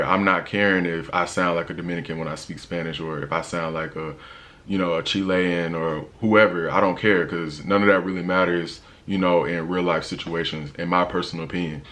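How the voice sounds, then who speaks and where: A young man with a deep voice, talking casually in a travel vlog with a laid-back, slangy manner and lots of fillers. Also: fairly bright tone. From United States. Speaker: travel vlogger.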